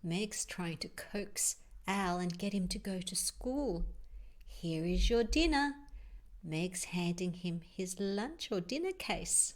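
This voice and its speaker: A woman reads a children's story at a slow, easy pace. Her pitch moves around expressively, and she pauses to explain the pictures and ask the young listeners questions. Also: animated. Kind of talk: children's story read-aloud with chatty asides.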